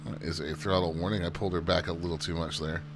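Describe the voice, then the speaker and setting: A low-pitched man talks through a flight sim session in an easygoing, chatty tour-guide manner, joking at his own expense. A constant hum runs beneath the recording. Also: quick pace. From United States. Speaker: flight sim channel host. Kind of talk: casual gameplay commentary. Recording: steady background hum.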